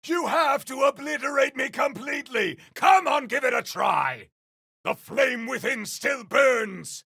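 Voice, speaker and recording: A male voice actor playing a grandiose, battle-hungry video game warrior, declaiming in a bombastic, archaic style with a rough, gravelly voice. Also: very high voice, expressive, unhurried, bright, forceful tone, no room sound, raised voice, full character voice. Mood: battle fury. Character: grandiose battle-hungry warrior wielding fire, frost and storm. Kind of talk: video game combat barks.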